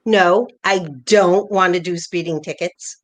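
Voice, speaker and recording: A woman's voice: lowish voice, fairly even intonation, measured pace, close to an everyday voice. A woman playing a playful, bossy older wife reminiscing fondly with a spouse in a staged play reading. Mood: teasing. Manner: teasing, nostalgic, conversational.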